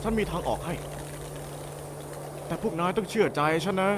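Cast: a man as a high-voiced charming rogue, flippant, for a game dub with noisy ambience. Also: moderate pace, close to an everyday voice. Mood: reassuring. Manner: wisecracking, breezy and flippant. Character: cocky, smooth-talking male adventurer ally. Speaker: fan voice actor dubbing a game. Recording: game ambience noise behind voice.

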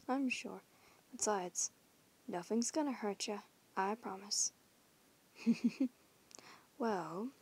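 Playful whispery werewolf gal a woman puts on, husky, drawls slow, swears a bit. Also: medium pitch, darkish tone. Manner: playful, teasing, swears casually. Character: teasing young female werewolf in the forest. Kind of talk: audio roleplay to the listener.

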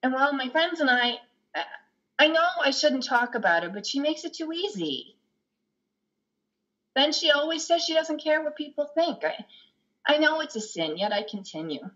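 A woman playing a guilt-ridden, flustered mother at confession, rambling and halting in a play reading. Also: high-pitched, expressive, a bit bright, pitched above the performer's own voice. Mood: flustered, exasperated. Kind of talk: play reading on a video call. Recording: dull, lo-fi video call.

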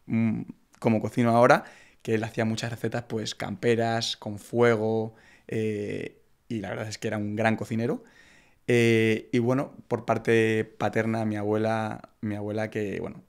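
A young man from Madrid with a Spain Spanish accent, chatting as a podcast guest. He talks into a close studio mic in a relaxed way, with many fillers and restarts. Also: lowish voice, vocal fry. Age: young adult. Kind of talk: casual interview chat. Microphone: broadcast mic on arm, close.

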